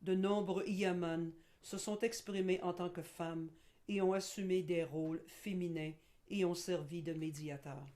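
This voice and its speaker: Middle-aged woman, low warm timbre, measured presenter pacing. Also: fairly even intonation. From Quebec. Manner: slow, measured, with frequent pauses and fillers. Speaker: guest speaker. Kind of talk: webinar presentation; scripted narration in the video part.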